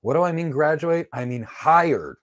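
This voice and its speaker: A man's voice: a little high, animated, moderate pace. A middle-aged man teaching networking on a live stream, answering viewer questions in a blunt, emphatic, matter-of-fact way. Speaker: networking instructor. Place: indoor studio with virtual backdrop.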